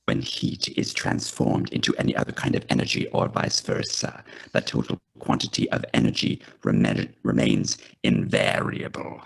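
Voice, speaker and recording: A man voicing a cold, emotionless alien machine with a croaking, halting monotone in a radio play reading. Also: lightly acted, low-quality recording. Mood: flat, mechanical. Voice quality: croaking, gravelly, rasping.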